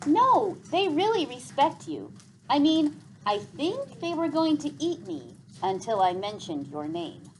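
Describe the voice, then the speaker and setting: A woman's voice: high voice, sing-song, unhurried, a little hiss or hum, voice pushed up, low-quality recording. A woman reading the part of a young boy hero in a storybook, irritated but kind, with blunt exclamations and questions. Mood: reassuring.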